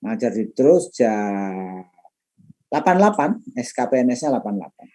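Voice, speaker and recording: Mellow middle-aged male lecturer. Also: fairly low pitch, very animated, normal speed. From Madiun, East Java, Indonesia. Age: middle-aged, late 50s. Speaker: lecturer and trainer. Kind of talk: informal class introduction, chatty autobiographical talk.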